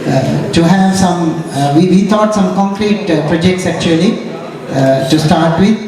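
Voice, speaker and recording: Sri Lankan man, slightly high, halting speech with fillers; echoey hall, crowd behind. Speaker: dean giving a speech. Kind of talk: after-dinner speech. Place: large banquet hall. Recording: echoey hall, mic far off, crowd noise.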